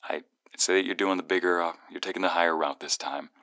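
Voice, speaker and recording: Man playing young guy best friend on a call, really deep voice, super dry close sound, teasing but sincere n protective, asmr roleplay. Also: fairly even intonation, fast talker, no room sound. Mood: serious, concerned. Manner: teasing banter turning soft and earnest. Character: playful, protective young male friend, secretly fond. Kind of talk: ASMR roleplay, one-sided phone call.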